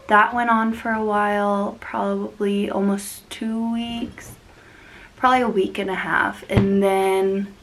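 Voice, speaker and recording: A woman's voice: medium pitch, poor audio. A young woman hosting a casual plant vlog, sniffly and congested from being sick, rambling and laughing often as she talks. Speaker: plant channel host.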